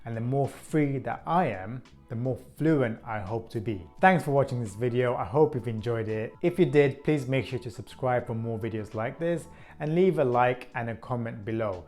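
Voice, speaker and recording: A man in his thirties hosting his own video channel, talking to camera in an earnest, measured way, with an occasional stutter slowing his words. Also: medium pitch, faint music behind. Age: 30s. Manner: earnest, even and measured, now and then slowed by a stutter. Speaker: channel host. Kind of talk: scripted vlog talk to camera.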